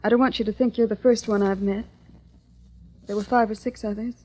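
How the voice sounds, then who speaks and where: A woman's voice: mid-range voice, fairly even intonation, brisk, mellow, breathy edge, natural voice. An actress playing a self-possessed American woman survivor, speaking coolly and directly in the intimate dialogue style of old-time radio drama. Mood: wry, direct. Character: self-possessed woman survivor, plain-spoken, wryly inviting. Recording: muffled vintage radio recording.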